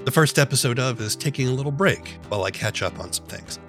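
Male podcast host over soft music. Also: mid-range voice, animated, fast talker. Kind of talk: podcast announcement.

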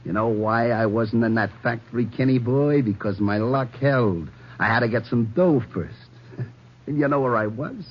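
An actor playing a menacing American gangster on the run in a 1950s radio crime drama, barking curt slangy orders and sardonic threats. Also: male voice, mid-range voice, moderate pace, close to an everyday voice. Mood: gloating. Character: menacing cop-killing gangster on the run, male. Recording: muffled, noisy 1950s radio recording.

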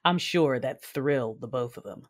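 An actress playing an intense, coolly confident Sith warrior woman, narrating a sci-fi audio drama in a husky, measured voice over music. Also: rumbling low. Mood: brooding, wry. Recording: music under the voice.

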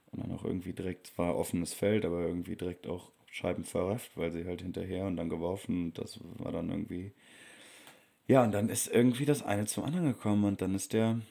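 Young male vlogger, deep creaky voice, loose rambling storyteller. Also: dark tone. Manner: rambling, many fillers and restarts. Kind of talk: casual storytelling.

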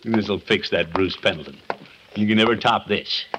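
An actor playing a pompous, boastful middle-aged suitor in a 1950s radio comedy, with a blustery, chuckling, self-satisfied manner. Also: male voice, fairly low pitch, normal speed, lightly acted. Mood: smug. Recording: noisy vintage 1950s radio recording.

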